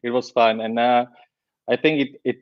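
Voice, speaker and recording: A man's voice: mid-range voice, fairly even intonation, moderate pace. Man in his forties, lo-fi sound. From Slovakia. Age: 40s. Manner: chatty, laughs easily, many fillers and restarts. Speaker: guest. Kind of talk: casual interview talk.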